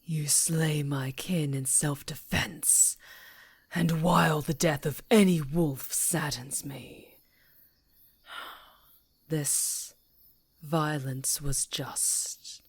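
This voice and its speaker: A woman playing a proud, solemn female werewolf chieftain in an ASMR roleplay, speaking gravely and formally in a husky, breathy voice. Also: low voice, dry recording, heavily acted. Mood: grave, measured. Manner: slow, grave, formal pronouncements.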